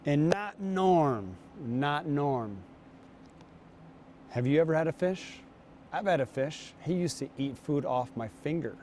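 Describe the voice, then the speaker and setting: A man reading aloud and talking to children as a guest reader, with an unhurried, storytelling manner. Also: a little high, animated, a little mellow, slight background noise. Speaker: guest reader, basketball coach.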